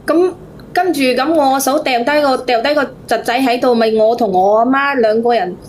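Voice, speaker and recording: High-voiced Cantonese woman, halting and plaintive. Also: a little hiss or hum. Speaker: phone-in caller. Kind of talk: casual personal storytelling to a host.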